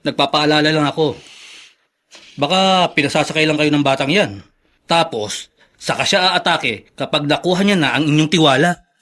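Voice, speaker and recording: Radio drama skeptic: distrustful, cautioning, fairly high voice, Filipino accent. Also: male voice, normal speed, sharp tone. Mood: wary, warning. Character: suspicious, cautioning man, distrustful of street kids.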